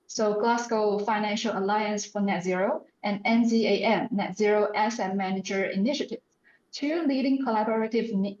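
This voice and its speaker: A woman's voice: medium pitch, darkish tone. Woman introducing a speaker in an echoey room. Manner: scripted, formal introduction with fillers. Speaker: moderator. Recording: echoey, dull lo-fi audio.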